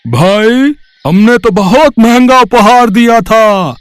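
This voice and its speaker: A shrill, high-pitched rich guest from an animated story brags about his costly gift in slow, drawn-out words. The sound is dry and close up. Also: smooth, no room sound, full character voice. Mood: boastful. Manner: slow, proud bragging. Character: boastful wealthy male party guest.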